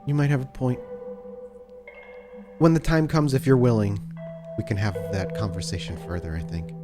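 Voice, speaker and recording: A man playing an anxious, devoted brother who speaks earnestly in halting, stammering starts. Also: mid-range voice, fairly even intonation, music in the background, close to an everyday voice.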